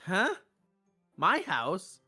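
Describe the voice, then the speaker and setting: A man's voice: high-pitched, wildly swooping pitch, drawn-out words, bright, forceful tone, husky. A man streaming a visual novel playthrough, narrating and reading the player character's part. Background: music.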